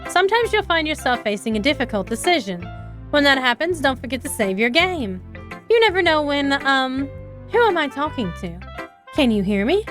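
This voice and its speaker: A woman voicing a confident, composed teenage club president, reading game dialogue with a smooth, persuasive manner. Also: sits high, sing-song, normal speed, fairly bright tone, soft background music. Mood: cheerful. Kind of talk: reading visual novel dialogue aloud.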